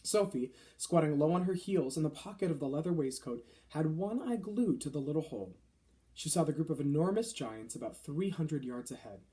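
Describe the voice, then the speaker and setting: A man's voice: fairly high pitch, expressive, normal speed, mellow. A man reading a children's novel aloud as scripted narration. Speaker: storybook reader.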